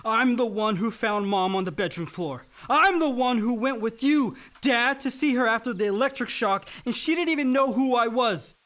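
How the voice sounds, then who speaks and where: An actor playing a dutiful, resentful grown son in a radio play, earnest and wounded. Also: male voice, very high voice, moderate pace, hardly any pauses, a bit bright. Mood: bitter outburst.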